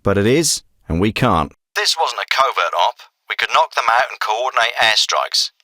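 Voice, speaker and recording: An actor playing a hard-nosed, authoritative leader of a covert military team in a video game, speaking tersely. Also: male voice, fairly low pitch, animated, normal speed, pushed and bright, no echo. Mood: businesslike, focused. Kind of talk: scripted video game dialogue. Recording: some clips processed as radio.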